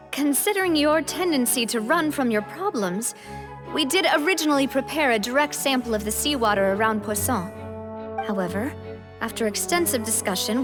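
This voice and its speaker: Game role, female actor: articulate young noblewoman, measured explanations, fairly high voice, clear score underneath. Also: lightly acted. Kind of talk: video game character acting. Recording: clear music behind.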